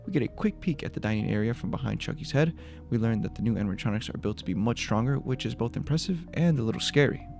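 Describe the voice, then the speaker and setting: A man's voice: mid-range voice, lively intonation, quick pace, creaky, darkish tone, soft background music. A man giving a scripted breakdown of a horror video as its creator, in voice-over narration.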